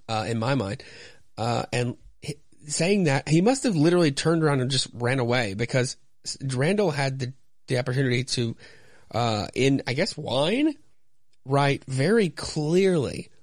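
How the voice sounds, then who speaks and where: A man hosting a podcast, giving casual, halting, self-deprecating commentary and narration on a comic. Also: medium pitch, no echo, clean studio sound. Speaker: podcast host.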